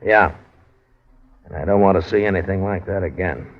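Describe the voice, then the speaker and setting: A man's voice: sits low, steady tone, normal speed, creaky. A man playing a blunt, weary American dock boss in an old radio drama, colloquial, with an American accent. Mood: grim. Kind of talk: 1940s-50s American radio drama acting. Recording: muffled old radio recording.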